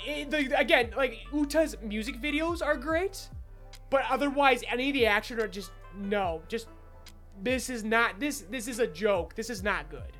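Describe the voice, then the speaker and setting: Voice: mid-range voice, lively intonation, moderate pace, fairly bright tone, soft background music. An opinionated podcast co-host casually reviewing a film, speaking emphatically and swearing freely.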